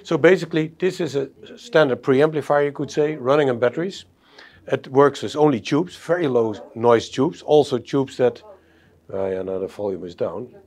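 Male, middle-aged, medium-pitched technical presenter explaining equipment at a trade show demo. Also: moderate pace, darkish tone. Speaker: audio company presenter. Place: exhibition demo room, indoors.

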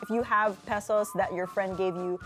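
A woman's voice: mid-range voice, fairly even intonation, moderate pace, over music. A young adult woman with a Filipino accent, co-hosting a casual travel chat and saying "like" a lot. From Philippines. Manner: chatty, uses "like" often. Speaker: co-host. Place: indoor studio set with a sofa.

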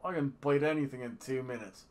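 A man's voice: mid-range voice, brisk, lightly acted. Man putting on a brash Australian woman wrestler. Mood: boastful. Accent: mock Australian. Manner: boastful, swaggering.

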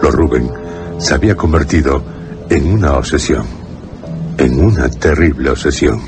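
Male narrator, Castilian accent, very deep bass, grave, deliberate dramatic pauses, radio horror story, prominent music. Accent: Castilian Spanish.

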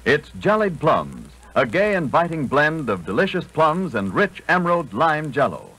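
Animated 1940s radio pitchman. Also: moderate pace, husky, low-quality recording. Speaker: radio announcer. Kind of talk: 1940s radio ad read, polished and enthusiastic. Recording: vintage 1940s radio broadcast.

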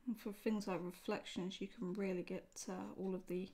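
A woman casually talking through her drawing as she works, relaxed and thinking aloud at a home desk. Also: medium pitch, moderate pace. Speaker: art tutorial host. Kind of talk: casual narration while drawing. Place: home desk, seen from above.

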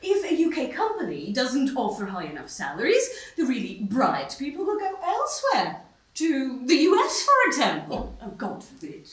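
An actress as a high-voiced smug female pundit. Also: huge pitch swings, moderate pace, a bit bright, heavily acted. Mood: smugly agreeing. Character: self-assured, smug free-market female intellectual pundit. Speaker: actor in a stage play. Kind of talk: satirical stage play acting of a TV debate. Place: small stone-walled theatre stage. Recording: roomy stage sound, distant mic.

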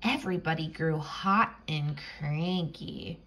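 A woman reading a children's picture book aloud, with expressive, suspenseful storytelling. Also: low voice, takes it slowly. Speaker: storybook reader.

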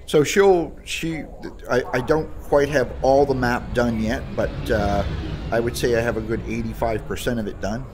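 A man's voice: medium pitch, fairly even intonation, normal speed, background noise. A man giving casual, explanatory gameplay commentary in an informal way. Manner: informal, explanatory, issues short voice commands. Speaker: game video presenter.

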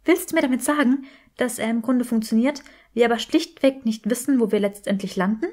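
Woman acting a brisk, determined young witch. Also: fairly high pitch, a little mellow, lightly acted. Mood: urgent questioning. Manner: brisk, commanding.

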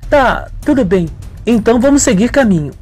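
Guy doing an innocent timid young woman, really high voice, polite, hesitant, radio soap. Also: animated, moderate pace, darkish tone, husky, faint music behind, full character voice.

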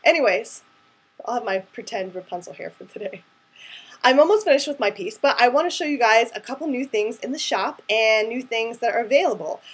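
Young woman, high slightly husky chatty voice. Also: lively intonation, fairly quick. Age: 20s. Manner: chatty and rambling, frequent "like". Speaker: artist hosting her own art show. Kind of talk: casual chat to camera. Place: small room at home, indoors.

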